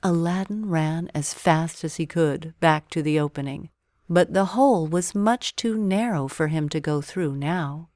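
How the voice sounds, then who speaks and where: A woman reading a children's bedtime story as an expressive storyteller. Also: deep voice, unhurried, no echo, studio quality.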